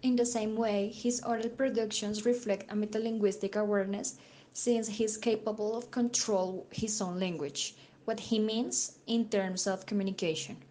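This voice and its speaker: A woman narrating a scripted academic analysis in a measured, read-aloud manner. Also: mid-range voice, fairly even intonation, warm tone. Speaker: student narrator.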